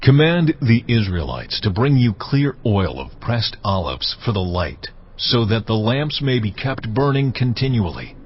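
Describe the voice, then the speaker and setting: A man playing God in a dramatized audio Bible, giving laws in a solemn, measured, commanding manner. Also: on the low side, very animated, slightly rough, exaggerated voice. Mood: solemn, instructing. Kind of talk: dramatized scripture reading. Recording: dull lo-fi audio, music underneath.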